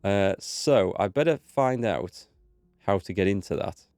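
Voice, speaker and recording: Man, low voice, stuffy from a cold, easygoing gaming chatter, dry close sound. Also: animated, a little slow, darkish tone, smooth, dry recording, faint music behind. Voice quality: slightly blocked, stuffy nose. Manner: easygoing, thinking aloud, reads in-game text. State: recovering from a cold, voice not back. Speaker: gaming video host. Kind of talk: relaxed let's play commentary.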